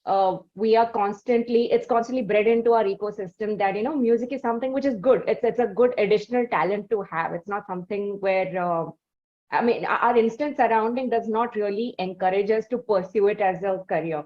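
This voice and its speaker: A woman's voice: medium pitch, a little fast, highs cut off. A woman from South India hosting an interview, asking long questions full of fillers and restarts. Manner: hesitant, many fillers. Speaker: interviewer host.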